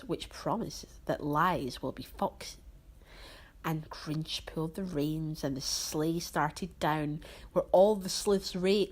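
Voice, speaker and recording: A woman reading rhyming storybook narration as a storyteller, with theatrical, drawn-out emphasis. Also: deep voice.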